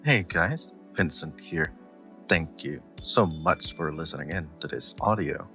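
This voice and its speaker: A man's voice: very deep voice, lively intonation, a little slow, sharp tone, faint music behind. A man who makes audio roleplays, giving a friendly sign-off to his channel's listeners. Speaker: audio roleplay creator and voice actor.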